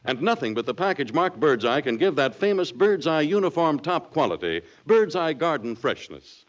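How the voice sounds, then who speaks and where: A man's voice: fairly high pitch, sing-song, moderate pace. A man reading a 1940s radio commercial as announcer, in a chatty, emphatic, folksy sales style. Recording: muffled old radio recording.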